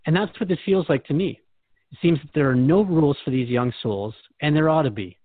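A man's voice: a little high, brisk, no room sound, phone-quality sound. A man hosting a conversational interview, asking questions in a wry, candid way. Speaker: host and questioner.

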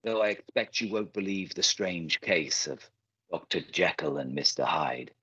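A man playing an urgent, worried Victorian gentleman in a radio play, on a dull, muffled recording. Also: low-pitched, animated, unhurried, breathy edge, no room sound, exaggerated voice. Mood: wry. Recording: dull, muffled, dry audio.

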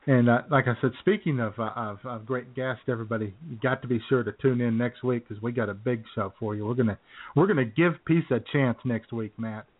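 A man's voice: mid-range voice, fairly quick. A man co-hosting a casual radio show with jokey, rambling, slightly hesitant banter. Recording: thin phone-like audio.

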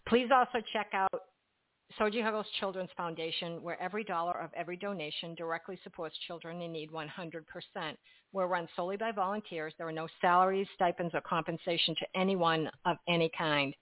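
A woman hosting a radio talk show and reading her sign-off in a polished, gently emphatic way. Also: lowish voice, steady tone, fairly quick, no room sound, phone-quality sound.

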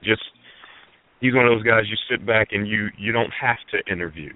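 A man with a very low voice hosts a call-in radio talk show, bantering and teasing in a relaxed, joking way. His voice comes through a tinny phone line with no room echo. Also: a little fast.

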